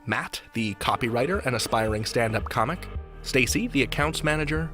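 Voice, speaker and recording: Animated, theatrical male podcast host over music. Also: medium pitch, normal speed. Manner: upbeat, theatrical. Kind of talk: scripted podcast introduction.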